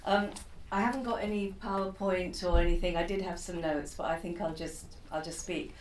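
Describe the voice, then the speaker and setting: A woman's voice: fairly low pitch, fairly even intonation, brisk. A woman in her fifties, from East Africa, giving a keynote talk off the cuff, chatty and full of fillers and restarts. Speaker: keynote speaker. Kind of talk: unscripted personal talk. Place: conference room. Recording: roomy, from a distant mic, faint noise.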